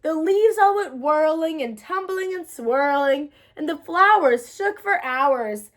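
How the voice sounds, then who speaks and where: A woman reading a children's picture book aloud in an expressive storytelling style. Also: sits high, slow. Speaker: storybook reader. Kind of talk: animated children's read-aloud.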